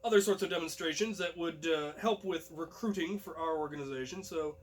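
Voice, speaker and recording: A young man hosting a vlog, telling a personal story casually to his viewers in an animated way. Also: fairly high pitch, brisk, a little hiss or hum. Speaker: host and storyteller.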